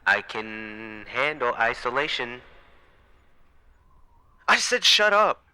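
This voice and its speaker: A man playing an exhausted, frightened night watchman recording halting horror-story log entries, dramatic and sometimes breaking into whispers. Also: medium pitch, sing-song, pushed and bright, clear, resonant tone, no room sound, heavily acted. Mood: panicked, defiant. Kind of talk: first-person horror audio log narration.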